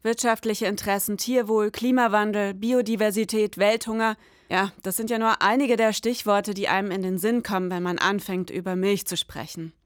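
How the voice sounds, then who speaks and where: A woman's voice: mid-range voice, steady tone, normal speed, no echo, studio quality. A woman in her forties co-hosting a podcast, mixing scripted narration with casual conversation. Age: 40s. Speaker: podcast co-host.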